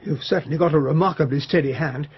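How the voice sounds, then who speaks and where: An actor playing an amiable, baffled English army captain, polite and plain-spoken, in a radio drama. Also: male voice, a little high, fast talker, husky. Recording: slightly dull radio drama recording.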